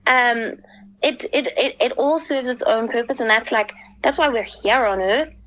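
A woman's voice: on the high side, normal speed, fairly bright tone, poor audio. A woman and mother speaking as a panelist in a casual podcast discussion, earnest and thoughtful, with many fillers.

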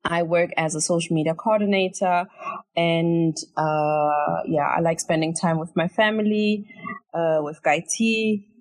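A woman's voice: fairly low pitch, unhurried, dry recording. A woman in her twenties from Germany, a podcast guest telling a story casually with fillers. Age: 20s. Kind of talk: casual conversation.

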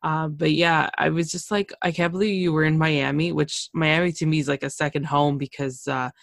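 Chatty woman host from Canada, gravelly texture, dry close-miked sound. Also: low voice, steady tone, a little fast, no room sound. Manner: chatty, exclaims a lot. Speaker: podcast host. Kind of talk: casual interview chat.

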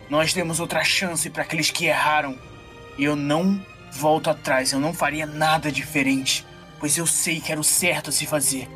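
Defiant, higher-voiced inquisitor, played by a man. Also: bright, forceful tone. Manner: haughty, firm. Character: defiant, self-righteous male inquisitor refusing repentance.

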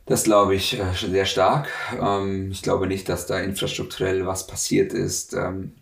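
A man's voice: deep voice, level tone, a little slow, gravelly. A man in his thirties from Russia, answering interview questions in a hesitant way with many filler sounds. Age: 30s. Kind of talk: conversational interview. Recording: echoey room, distant mic.

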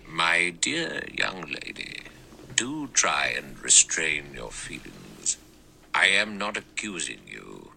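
A man voicing an elderly male judge with a small, clear, thin and sour voice, speaking slowly and measured. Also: very deep voice, sharp tone, slight background noise, exaggerated voice, poor audio. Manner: slow, measured, dry.

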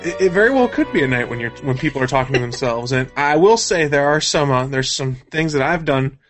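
A man's voice: mid-range voice, animated, brisk, a bit bright. Casual male podcast host, music behind. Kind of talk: casual off-the-cuff podcast talk.

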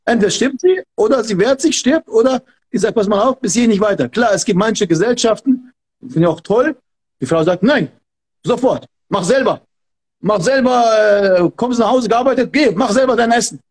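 Fired-up guy w a high husky voice, keeps repeating himself for emphasis. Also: lively intonation, moderate pace, a little mellow. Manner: emphatic, repetitive, fervent. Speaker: martial arts instructor. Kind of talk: passionate podcast talk.

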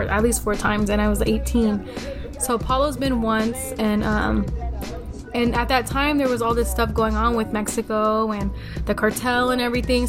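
A chatty young woman with a slightly raised pitch talks casually and swears freely. She keeps slipping into quoting herself mid-story. Music plays clearly beneath her voice. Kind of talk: casual chat. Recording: music playing behind the talk.

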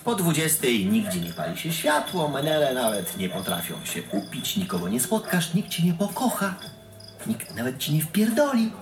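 Audio-play monologue: wry, embittered provincial man, animated delivery, reverberant space, music underneath. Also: on the high side, moderate pace. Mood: sardonic, bleak. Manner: sardonic storytelling. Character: wry, bitter man from a bleak provincial town. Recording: roomy produced audio drama with music.

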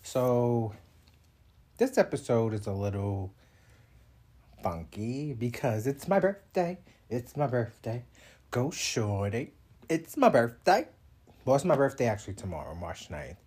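A man's voice: medium pitch, big pitch swings, measured pace, long pauses, darkish tone, breathy edge. A man in his thirties hosting his own podcast, talking in a chatty, reflective way and laughing between thoughts. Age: 30s. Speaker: podcast host. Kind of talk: casual solo podcast monologue.

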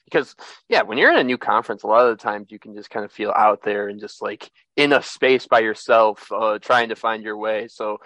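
A young man hosting a sports podcast, talking in a relaxed way with lots of "uh" and "like". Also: lowish voice, fairly quick, dry recording, poor audio. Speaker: podcast host.

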